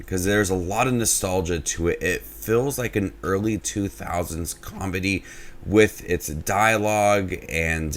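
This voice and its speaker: A young man hosting his own movie review channel, talking casually in a low, flat way that he says can sound unenthusiastic.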